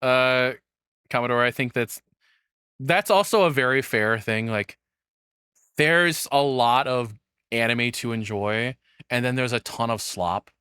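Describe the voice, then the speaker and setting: A man with a mid-pitched voice, recorded dry. He talks casually as a streamer reacting to a video, thinking out loud and rambling, with offhand swearing. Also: measured pace, long pauses, clean tone, no echo.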